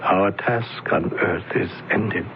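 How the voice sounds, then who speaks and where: An actor playing a calm, solemn male alien envoy in a radio drama, speaking slowly and formally. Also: low-pitched, a little hiss or hum. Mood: explaining. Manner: slow, measured, formal. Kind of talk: 1970s radio drama acting. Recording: dull lo-fi old radio broadcast.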